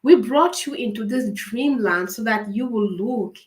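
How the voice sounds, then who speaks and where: A woman with a raspy voice from a cold, hosting a live writing-coaching session, upbeat and encouraging, asking viewers many questions. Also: a little high, measured pace. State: fighting a cold. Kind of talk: live-stream coaching talk.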